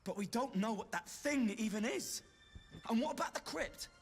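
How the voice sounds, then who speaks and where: A woman's voice: a little high, animated, moderate pace, big-room sound, soft background music. A woman playing a doubtful member of a bandit crew in a video game, questioning and urgent. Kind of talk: video game character acting.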